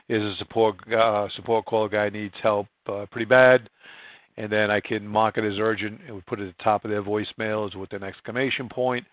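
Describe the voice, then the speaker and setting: A man with a fairly low voice talks through a software tutorial step by step. His delivery is informal and plain-spoken, with little rise and fall in pitch. The sound is dry and close, with no room around it. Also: dry recording, narrow, tinny audio. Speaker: software trainer. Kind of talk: screen-share software tutorial narration.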